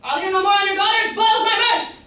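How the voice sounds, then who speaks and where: A woman's voice: very high-pitched, fast talker, sharp tone. A young woman student playing a brash, merry, mocking character in a comic amateur staged reading. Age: young adult. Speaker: student actor. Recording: very echoey room from far off.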